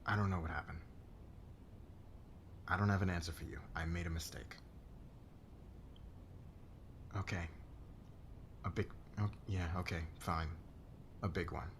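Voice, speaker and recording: A man playing a demanding, defensive boss with a hot temper and a hidden crush, halting and intense, in an ASMR romance roleplay. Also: low-pitched, steady tone, vocal fry, slight background noise. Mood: curt, uneasy.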